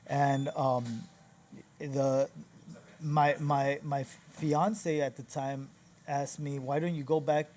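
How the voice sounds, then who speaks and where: A man's voice: medium pitch, flat delivery, slow, a little mellow, a little hiss or hum. A young man from northern Mexico whose first language is Spanish, rambling in a casual interview with many restarts and calling the host dude and bro. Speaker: barbershop founder, guest. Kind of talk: casual conversation. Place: barbershop lounge.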